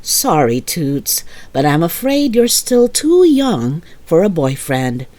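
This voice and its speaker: Woman doing storybook mom, lowish voice, slow, patient and soothing but gently firm. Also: sing-song. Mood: amused, soothing. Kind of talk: children's storybook character voice.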